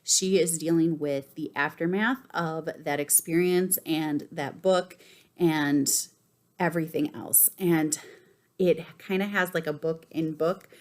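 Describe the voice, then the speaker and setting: A woman talking casually to camera about books as a vlogger, enthusiastic and emphatic, often pausing mid-sentence. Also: sits low, darkish tone. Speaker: book vlogger presenting a reading list.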